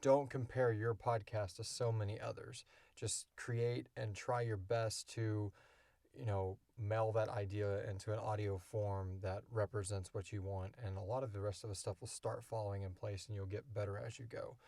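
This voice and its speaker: A man's voice: lowish voice, little pitch movement, normal speed, vocal fry, a little mellow, no room sound. A man hosting a podcast, answering questions in a casual, informal, rambling way. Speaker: podcast host and audio drama creator.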